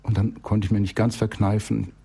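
Husky man with a gravelly creak. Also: lowish voice, little pitch movement, normal speed, warm tone. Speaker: expert guest, child-development specialist. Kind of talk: spoken anecdote in a radio interview.

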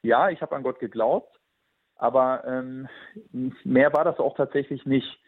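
A man in his twenties shares his personal testimony in an earnest, thoughtful way, pausing with small fillers as he searches for words. His voice is a little husky, and the close, dry sound has a tinny, phone-like narrowness. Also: medium pitch, dry recording. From Germany. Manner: earnest and reflective, with frequent hesitations.

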